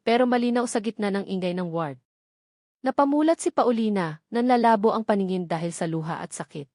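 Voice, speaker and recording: A woman's voice: medium pitch, darkish tone, no echo, studio quality. A woman with a Filipino accent reads a dramatic scripted story as an audiobook narrator.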